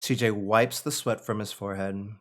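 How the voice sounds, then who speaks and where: A man's voice: fairly low pitch, fairly even intonation, moderate pace. A man narrating stage directions at a table read in an informal, conversational way. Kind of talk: reading screenplay stage directions aloud, with casual asides.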